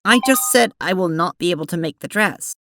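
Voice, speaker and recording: A woman playing a prim, earnest young alien troll in an audio drama, speaking formally and haltingly with an awkward, apologetic manner. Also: on the low side, animated, music in the background. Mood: hesitant, deflated.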